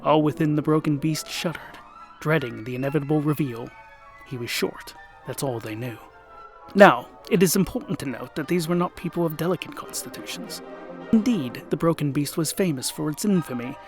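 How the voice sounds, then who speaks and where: A man narrating a scripted comic fantasy tale in a theatrical, mock-epic manner with wry asides. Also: a little high. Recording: voice over music. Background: music.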